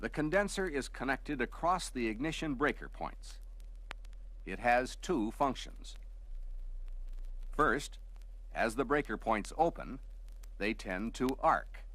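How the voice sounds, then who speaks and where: A man narrates scripted instructional material in a measured, explanatory way, like a training film narrator. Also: mid-range voice, lively intonation, fairly bright tone, rough recording. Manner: measured, explanatory, clearly structured delivery.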